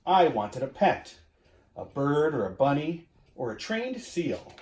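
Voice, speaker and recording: A man reads a picture book aloud to children at an unhurried pace. His delivery swings widely in pitch, with expressive stretched emphasis. The room adds audible echo. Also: slight background noise. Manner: expressive, drawn-out emphasis, addressing listeners and dog. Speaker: community education director reading to children. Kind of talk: animated children's storybook read-aloud. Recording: roomy echo.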